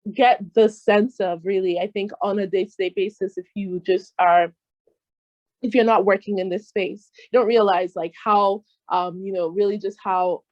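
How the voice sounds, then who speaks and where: A woman's voice: mid-range voice, a little mellow, no room sound, muffled recording. A young woman with an American accent, born in Nigeria, talking casually as an interview guest and hedging with many fillers and restarts. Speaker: guest trade expert.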